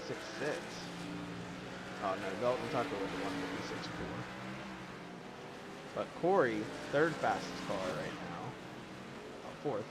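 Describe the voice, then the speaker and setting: A man calling a sim race, casual and rambling, with asides. He is losing his voice and clears his throat now and then. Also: mid-range voice. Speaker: race commentator. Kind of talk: sim racing play-by-play commentary. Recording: loud engine noise behind. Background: race car engine sounds.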